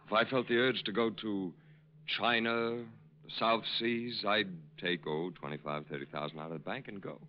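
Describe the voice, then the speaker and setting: An actor playing a middle-aged con artist posing as a modest war-hero major, halting and wooing, in 1940s radio drama style. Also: male voice, on the low side, steady tone, lightly acted. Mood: coaxing. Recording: dull, noisy old radio recording.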